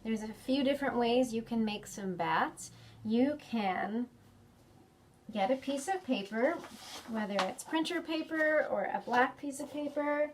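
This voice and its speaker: Children's storytime host: American female, fairly high voice, very slow delivery, simple encouraging instructions for little kids. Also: slight background noise. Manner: simple, encouraging speech addressed to young children. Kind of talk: preschool storytime craft instruction. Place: indoors in a library.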